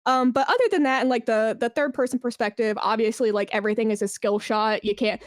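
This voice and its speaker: A woman with a fairly high voice talks as a guest in a casual interview. She is enthusiastic and informal, swearing freely. The sound is very dry, with no room echo. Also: steady tone, quick pace. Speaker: podcast guest, esports brand manager.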